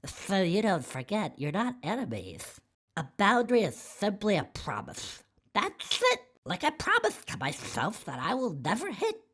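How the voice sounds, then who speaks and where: Kindly storybook rabbit, played by a woman, male, earnest adviser, hugely animated pitch, slightly husky, slow delivery. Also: full character voice. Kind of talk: children's storybook read-aloud.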